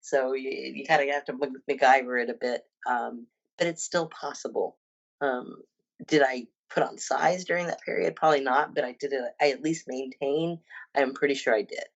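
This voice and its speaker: A woman's voice: a bass voice, steady tone, moderate pace, gravelly, dull audio. A woman in her fifties, a personal trainer and bodybuilder, talking casually as a podcast guest with many ums and restarts. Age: 50s.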